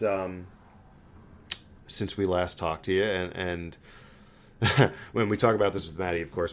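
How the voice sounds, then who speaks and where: A man hosting a sports podcast, talking casually as a fan and telling stories in an animated, stammering way. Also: low-pitched. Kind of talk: casual hockey fan chat.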